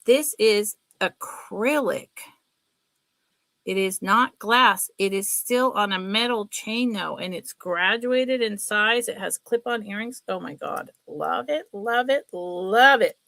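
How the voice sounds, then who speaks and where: A woman's voice: medium pitch, takes it slowly, creaky, fairly bright tone, dry recording. A middle-aged woman with a sniffly, congested nose, chatting casually and ramblingly as she hosts a live sale. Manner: rambling, chatty, apologetic asides. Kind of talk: casual livestream chat.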